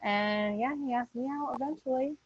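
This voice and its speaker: A woman's voice: fairly high pitch, moderate pace, breathy edge, dry recording. Dry, muffled woman chatting casually. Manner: candid, informal, swears casually. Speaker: podcast cast member. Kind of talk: casual chat. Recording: dull lo-fi audio.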